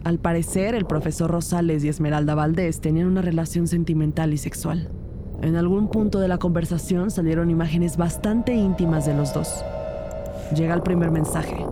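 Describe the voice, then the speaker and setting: Low-voiced female detective played by an actress, music behind. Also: natural voice. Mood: composed, narrating. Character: female police detective narrating her investigation, composed. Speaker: narrator and lead character. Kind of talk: scripted first-person crime audio drama narration.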